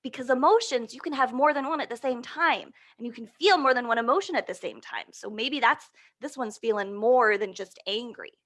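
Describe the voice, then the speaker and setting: A woman presenting an interactive picture-book storytime for young children, encouraging and animated, often asking questions and answering them aloud. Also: fairly high pitch, a little fast, hardly any pauses.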